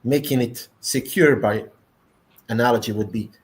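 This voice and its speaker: A man's voice: mid-range voice, moderate pace. A man with a Croatian accent, a native Croatian speaker, speaking as a panelist in a technical discussion, emphatic and often ending points with "yeah?".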